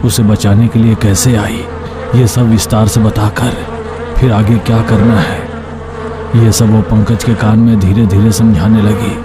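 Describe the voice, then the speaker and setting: A man's voice: fairly low pitch, level tone, a little slow. A man narrating a scripted cartoon story. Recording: long hall reverb, background noise.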